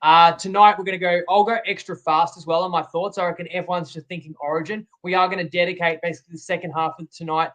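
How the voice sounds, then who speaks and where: A man's voice: high-pitched, flat delivery, quick pace, few pauses, a bit bright. A man with an Australian accent, co-hosting a betting podcast, reflective and a little self-critical, with some hesitant fillers. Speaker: co-host and tipster. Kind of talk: casual sports betting podcast chat.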